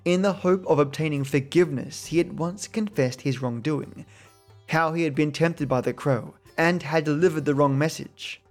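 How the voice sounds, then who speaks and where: A man's voice: fairly high pitch, normal speed, faint music behind. A man steadily reading folk tales aloud as a podcast host. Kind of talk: storytelling narration.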